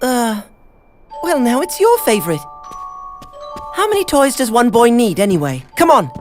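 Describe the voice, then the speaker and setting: Lively, high-voiced adventurous boy. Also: normal speed, faint music behind. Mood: cheeky, upbeat. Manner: brash, lively. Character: adventurous, cheeky, generous young boy. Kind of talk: animated game cutscene acting.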